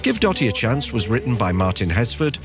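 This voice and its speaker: A man's voice: mid-range voice, very animated, a little fast. A man reading scripted end credits as an announcer for a radio drama. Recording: music behind the voice.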